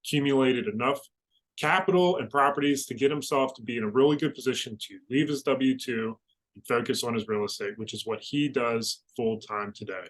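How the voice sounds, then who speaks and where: A man's voice: medium pitch, a little fast, low-quality recording. Host: adult man, mid-range voice, easygoing interviewer. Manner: friendly, conversational. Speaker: podcast co-host. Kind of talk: podcast interview hosting.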